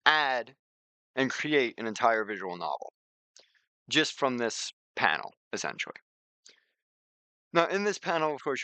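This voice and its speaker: Guy doing a casual software walkthrough, gravelly fry, close dry sound, kinda rambly. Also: medium pitch, no echo. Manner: rambling, repeats phrases, thinks aloud. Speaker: software tutorial presenter. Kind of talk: informal screen-share software demonstration.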